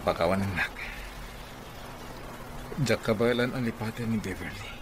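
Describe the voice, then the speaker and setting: A man acts out a sheepish, evasive young husband in a radio drama. He speaks haltingly in a fairly low voice, with clear noise behind him. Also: natural voice. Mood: guilty, apologetic. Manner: hesitant, halting.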